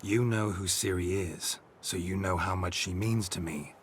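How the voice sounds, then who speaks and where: An actor playing a weary, stoic monster hunter in a video game, blunt and guarded, with faint ambience behind him. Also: male voice, low-pitched, moderate pace, slight background noise, natural voice. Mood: earnest, guarded. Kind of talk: video game dialogue acting.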